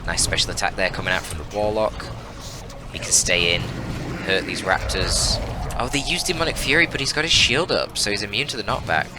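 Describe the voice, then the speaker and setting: A man's voice: on the low side, fairly quick, over music. A man giving analytical, informal live game commentary in a casual, mildly sweary, exclamatory way. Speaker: esports caster, channel host.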